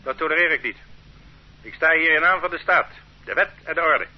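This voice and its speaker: A man's voice: fairly high pitch, a little fast, natural voice. Man playing a stern, bullying state interrogator. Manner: bullying, condescending. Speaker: official interrogating a suspect. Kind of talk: radio drama acting. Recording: dull old radio recording with faint hum.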